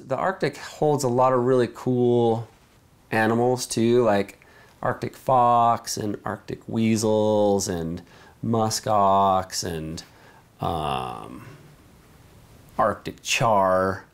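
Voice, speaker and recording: A man's voice: fairly low pitch, extremely slow, vocal fry. A man in his thirties, a fly fishing filmmaker, talking admiringly about wildlife in a sit-down documentary interview in an indoor studio. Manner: admiring, searching for words. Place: indoor studio with wood-plank backdrop.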